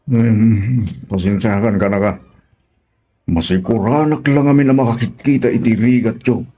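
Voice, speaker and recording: A man with a Filipino accent playing a gravelly, caring older uncle in a radio drama. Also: lowish voice, fairly even intonation, moderate pace, dark tone, natural voice. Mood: sympathetic, gently chiding.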